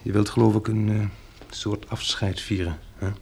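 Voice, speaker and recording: An actor in a radio drama playing a courteous, wry gentleman who has survived a catastrophe, in intimate, naturalistic dialogue with a gravelly, husky voice. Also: on the low side, fairly even intonation, moderate pace. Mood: wistful.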